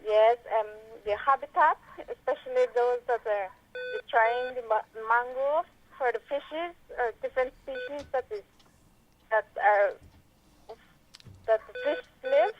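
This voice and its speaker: A woman's voice: sits high, expressive, measured pace. A woman with a Belizean accent phoning in to a radio quiz, answering hesitantly. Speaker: phone-in caller. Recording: muffled, noisy phone line.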